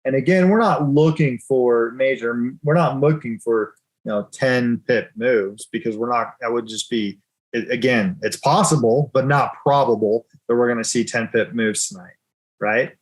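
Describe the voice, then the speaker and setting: A man hosting a live currency trading session and talking through chart moves in loose, chatty sentences. He teases his co-host and laughs often. His voice sits at an ordinary middle pitch. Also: normal speed, poor audio. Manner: casual, teasing, laughs often.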